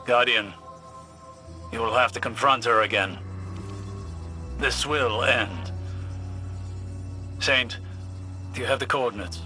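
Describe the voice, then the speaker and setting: A man playing a grave, resolute mentor in a video game, speaking in a solemn, measured, authoritative way. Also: medium pitch, bright, forceful tone, faint music behind. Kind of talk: dramatic video game acting.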